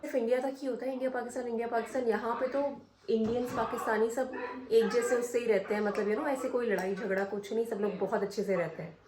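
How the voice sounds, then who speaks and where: A woman's voice: on the high side, steady tone, fast, crowd noise. A woman, chatting casually to her vlog audience about her family and day. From India. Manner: chatty, mixes in English phrases. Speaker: vlogger.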